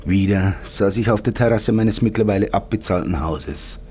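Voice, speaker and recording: A man reading a scripted first-person story as a wistful narrator, in a measured way with dramatic pauses. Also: on the low side, warm tone, slight background noise, close to an everyday voice. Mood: wistful, calm. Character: wistful male narrator mourning an imagined life.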